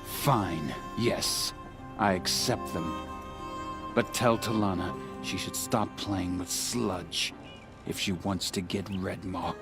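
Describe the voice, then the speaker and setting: An actor playing a haughty, contemptuous hunting lodge leader in a video game, with a sneering, pompous delivery. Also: male voice, sits low, steady tone, slow, loud music behind the voice. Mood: grudging.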